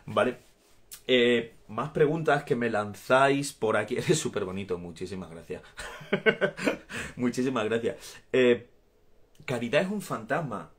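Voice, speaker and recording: A man's voice: medium pitch, lively intonation, slow pace, pauses often. A man in his thirties with an Andalusian accent, chatting casually on a live stream from a small room at home, reading out viewer comments and laughing often. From Andalusia, Spain. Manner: warm, chatty, laughs often, gestures while talking. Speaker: actor answering fans in a live stream. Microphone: phone or webcam at arm's length.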